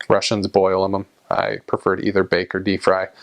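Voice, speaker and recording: Relaxed rambling man, low voice. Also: moderate pace. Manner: relaxed, rambling, frequent fillers and restarts. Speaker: vlogger recounting time abroad. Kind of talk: casual talking to camera.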